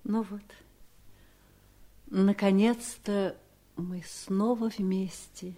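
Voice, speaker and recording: An actress playing a scheming, coolly polite wife in a radio play, speaking with measured, pointed irony. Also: female voice, mid-range voice, steady tone, dark tone. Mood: sweetly solicitous. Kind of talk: 1970s Soviet radio play acting.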